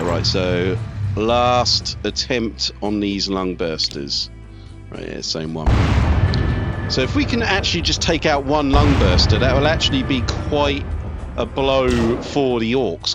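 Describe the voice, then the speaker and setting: A man giving casual live commentary on a game, thinking aloud as he talks through his tactics. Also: mid-range voice. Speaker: let's play host. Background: video game music, artillery fire and vehicles.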